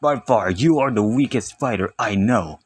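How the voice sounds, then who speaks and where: A man voicing proud alien warriors trading taunts in an amateur anime comic dub, with deliberate delivery. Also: lowish voice, lively intonation, slightly rough, dry recording. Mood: proud, taunting.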